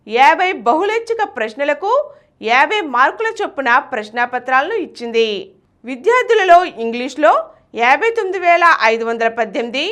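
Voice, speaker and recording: A woman's voice: sits high, fairly bright tone. A woman reading a scripted news bulletin. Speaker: news reader.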